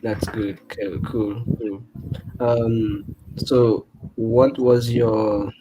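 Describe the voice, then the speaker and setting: A man with a Nigerian accent hosting a casual online interview, relaxed and chatty, with many short acknowledgements. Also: on the low side, very slow, noisy background. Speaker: livestream host.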